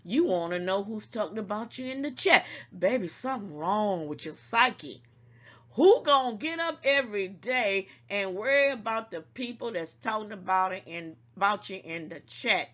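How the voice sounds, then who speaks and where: A woman's voice: medium pitch, expressive, moderate pace, a bit bright, highs cut off. An older woman hosting an online talk show, scolding and preaching to her viewers and calling them baby, at times a little congested. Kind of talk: casual commentary to viewers.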